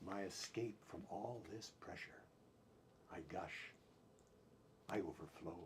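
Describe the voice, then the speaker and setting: Slow, theatrical man reciting poetry. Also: low voice, background noise. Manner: deliberate, theatrical delivery in short clipped phrases with pauses. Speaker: poet. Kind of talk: dramatic spoken-word poetry reading, with brief chatty asides.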